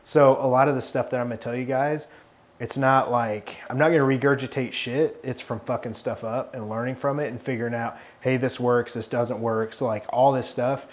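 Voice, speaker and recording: A man's voice: mid-range voice, steady tone, normal speed. Blunt, profane man in his forties. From Arkansas. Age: 40s. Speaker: fitness consultant. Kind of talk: casual mentoring chat.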